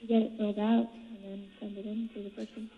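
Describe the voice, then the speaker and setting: A woman's voice: mid-range voice, flat delivery, fast talker. Woman on a thin, echoing phone line. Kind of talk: casual phone conversation. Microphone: telephone.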